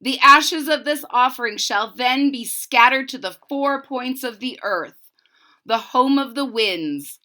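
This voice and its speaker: A woman's voice: high voice, measured pace, pushed and bright, voice pushed up. A woman voicing a solemn male shaman who proclaims grave words in a storybook, with emphatic declamation. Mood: solemn, commanding.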